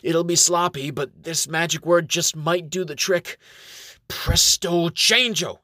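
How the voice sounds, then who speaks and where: A man's voice: steady tone, normal speed, a bit bright, dry recording, lightly acted. A man doing a skinny boastful elf wizard. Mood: eager. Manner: high, eager, theatrical.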